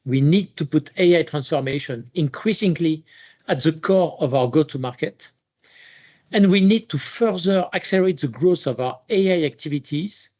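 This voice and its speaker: A man giving a formal, measured scripted business presentation as a chief executive. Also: a little high, darkish tone.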